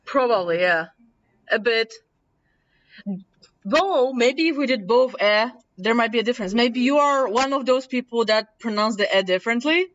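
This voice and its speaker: A woman from Poland with a mild Polish accent, casually teaching a workshop and thinking aloud as she demonstrates software. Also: a little high, animated, moderate pace. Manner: chatty, self-correcting, thinking aloud. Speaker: workshop presenter. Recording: distant, dull room recording.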